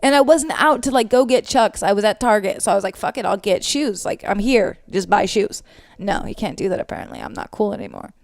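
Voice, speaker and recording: A young American woman with a loud voice, telling stories as a co-host in casual, animated podcast chat and swearing freely. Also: medium pitch, a little fast, creaky, dry recording, studio quality.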